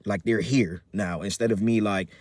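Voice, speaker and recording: A man in his thirties talks through a casual personal update, voice sitting a bit low. He rambles, filling gaps with ums and restarting his sentences, easygoing and upbeat. The sound is close and dry, with no room around it. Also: normal speed, dry recording. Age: 30s. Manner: rambling, many fillers and restarts; relaxed, upbeat. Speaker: vlogger.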